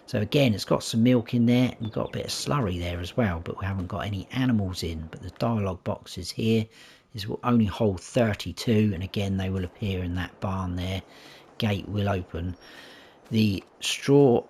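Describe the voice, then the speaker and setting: A man with a croaky voice giving a chatty walkthrough of a farming game map, often correcting himself as he goes. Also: on the low side, a little hiss or hum. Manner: chatty, self-correcting, talks to viewers. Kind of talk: casual gameplay tour commentary.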